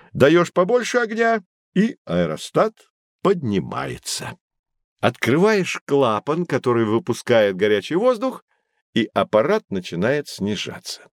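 Lively, theatrical male guide. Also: medium pitch, no room sound, studio quality. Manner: didactic, theatrical, emphatic. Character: knowledgeable patient male guide teaching children. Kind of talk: scripted children's educational audio drama.

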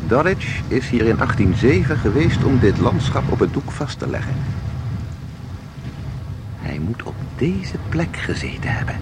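An actor in a Dutch audio drama playing a composed, knowing guide who explains things in a measured, formal way. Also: male voice, mid-range voice, very animated, gravelly, very noisy. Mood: absorbed, explaining. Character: composed, knowing male guide through eerie painted worlds.

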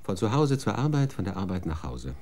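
Casting: bürokratischer Stellvertreter, tief und weich, unerschütterlich. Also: male voice, low voice, sing-song, a little fast, mellow. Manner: dry, bureaucratic. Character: unflappable male deputy official, matter-of-fact.

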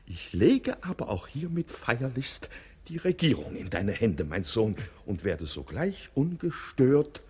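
A man's voice: medium pitch, sing-song, a little mellow, slightly rough, full character voice. Befuddled, pompous old king, swooping voice. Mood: moved, solemn. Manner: halting, hemming, repeating himself pompously. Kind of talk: 1950s German radio play acting.

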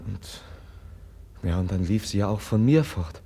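Husky, gentle, earnest nobleman. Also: on the low side, lively intonation, heavily acted. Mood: earnest, measured. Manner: earnest, halting, soft-spoken. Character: gentle, compassionate, earnest young Russian nobleman, pleading sincerely. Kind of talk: German radio drama acting.

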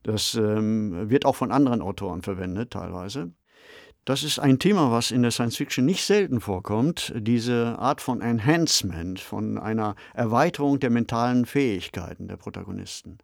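A man co-hosting a discussion podcast, explaining in a measured, conversational manner. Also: mid-range voice, expressive, gravelly, dry recording, studio quality. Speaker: podcast co-host and novelist. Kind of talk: conversational radio discussion of science fiction.

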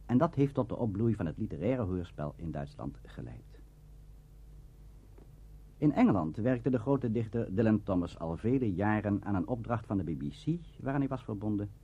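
Husky, animated, formal male presenter. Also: lowish voice. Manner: measured, formal, declamatory. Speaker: presenter of a radio history programme. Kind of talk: formal scripted lecture narration. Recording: dull, muffled archive radio recording.

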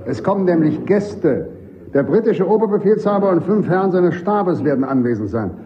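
A man's voice: high voice, lively intonation, moderate pace, lo-fi sound, slight background noise. A man playing a jovial, talkative senior army officer in a German radio play, affable, urbane and self-satisfied. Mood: breezy, affable.

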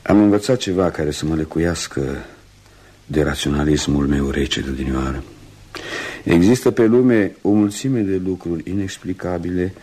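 An actor in a radio play voicing a troubled, humbled doctor who speaks in a brooding, halting, earnest way. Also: male voice, sits low, animated, dark tone, breathy edge. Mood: earnest, shaken. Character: troubled, humbled male English doctor, once a cold rationalist. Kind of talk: Romanian radio theatre acting.

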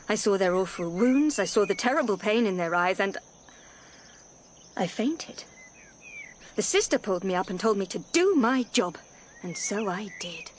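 A woman's voice: medium pitch, slight background noise, lightly acted. An actress playing an earnest, genteel young woman, polite in manner, in a radio drama. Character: Nell, earnest, genteel young English nurse and wife.

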